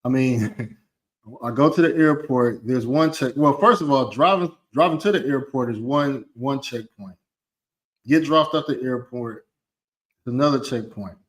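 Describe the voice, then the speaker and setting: A man vlogging casually about his travels, rambling with many restarts and repeated words, and swearing now and then. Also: medium pitch.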